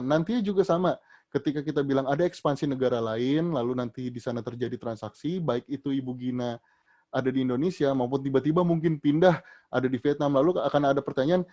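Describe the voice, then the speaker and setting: Man, trainer, casual explaining, hesitant fillers, rises loud at new answers. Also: mid-range voice. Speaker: trainer answering audience questions. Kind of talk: online training session, Q and A.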